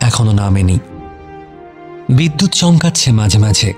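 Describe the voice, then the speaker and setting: A man narrating a scripted romantic story as a dramatic storyteller, with measured literary phrasing and pauses. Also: on the low side, big pitch swings, a little mellow. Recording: music bed under narration.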